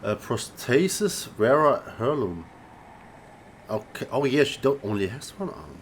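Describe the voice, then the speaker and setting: A man's voice: mid-range voice, big pitch swings, a little slow, a little hiss or hum. A man giving casual let's-play commentary, earnestly talking back to game characters. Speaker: gamer playing and reacting.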